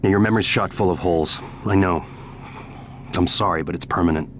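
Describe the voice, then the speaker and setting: A very low-pitched, weary scientist, played by a male actor, speaks frankly and explains in a matter-of-fact way. It sounds like a game's video message, with faint noise behind it. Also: a little fast, close to an everyday voice. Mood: frank, grave. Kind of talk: video game voice acting.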